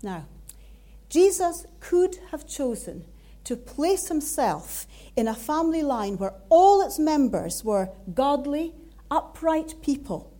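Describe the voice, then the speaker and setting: A woman with a Scottish accent giving a sermon in an earnest, measured way. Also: fairly high pitch, dark tone. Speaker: preacher.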